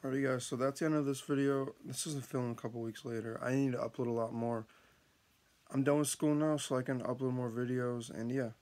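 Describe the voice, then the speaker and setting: A man's voice: medium pitch, steady tone, brisk, a little mellow. A young man talking casually to his viewers, rambling with lots of fillers and restarts. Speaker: vlogger. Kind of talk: casual vlog chat.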